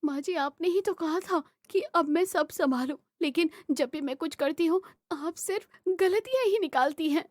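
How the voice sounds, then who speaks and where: Young, proud daughter-in-law for a family cartoon, female actor; high voice, earnest, emphatic. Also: moderate pace, hardly any pauses, a little mellow, no room sound. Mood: aggrieved. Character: proud, aggrieved young daughter-in-law, stubborn but respectful. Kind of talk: Hindi animated family drama acting.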